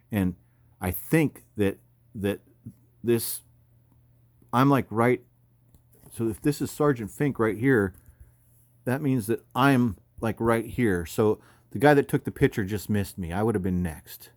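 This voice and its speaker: Low-voiced American man, casual and rambling. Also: measured pace, pauses often, a little mellow, no echo. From California, United States. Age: 50s. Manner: rambling, informal, slangy. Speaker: army veteran, former paratrooper and combat medic. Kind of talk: casual off-the-cuff commentary over photos.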